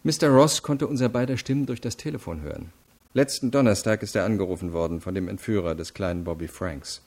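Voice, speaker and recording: An actor playing an unyielding deputy prosecutor in a radio crime drama, laying out facts in a firm, deliberate way. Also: male voice, fairly low pitch, a little mellow. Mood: firm, methodical. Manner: matter-of-fact, deliberate, firm. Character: methodical, unyielding male deputy prosecutor interrogating.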